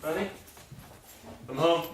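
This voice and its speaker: An actor playing a calm, reassuring husband who talks in a soothing, placating way. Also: male voice, a little high, slow pace, noisy background. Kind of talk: radio drama.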